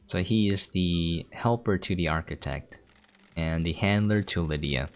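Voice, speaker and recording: Low-voiced woman commenting on gameplay. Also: a little slow. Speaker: game preview host. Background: game music.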